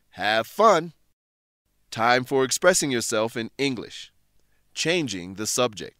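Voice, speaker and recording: A man narrating a scripted English lesson, explaining clearly and deliberately as a teacher. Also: mid-range voice, fairly bright tone, no echo, clean studio sound.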